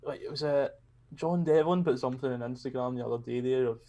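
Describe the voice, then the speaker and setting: A man's voice: medium pitch, quick pace, dark tone. A man hosting a casual chat, blunt and joking, swearing freely. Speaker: podcast host.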